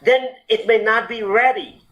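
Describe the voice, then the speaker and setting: A man with a notably high voice gives medical advice on a talk show. He explains carefully, filling pauses with ums and restarting phrases as he goes. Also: steady tone, dull audio. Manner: explanatory, many fillers and restarts. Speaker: doctor answering health questions.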